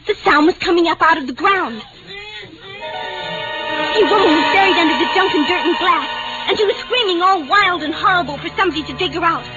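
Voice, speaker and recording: High-voiced, earnest young girl. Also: fairly quick. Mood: earnest storytelling. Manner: breathless, pleading, childlike. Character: earnest, frantic young girl telling her story. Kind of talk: old-time radio drama narration and acting. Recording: dull old radio recording, music behind.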